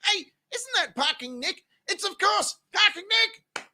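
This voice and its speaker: Rowdy high-pitched male host. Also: very animated, a little slow, bright, forceful tone, slight background noise. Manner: boisterous, profane, laughs often. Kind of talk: crude comedic banter and showy introductions. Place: studio.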